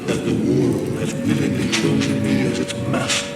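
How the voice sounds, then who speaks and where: A man's voice: medium pitch, fairly even intonation, normal speed, loud music behind the voice, close to an everyday voice. An actor playing a demonic father, a grandiose and blasphemous villain in a video game, speaking with pompous theatrical gloating.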